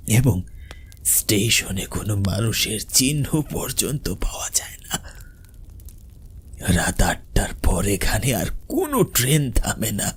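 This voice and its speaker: A man voicing an elderly village grandfather in a horror cartoon, grave and protective, with husky, drawn-out dramatic warnings. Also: medium pitch, exaggerated voice.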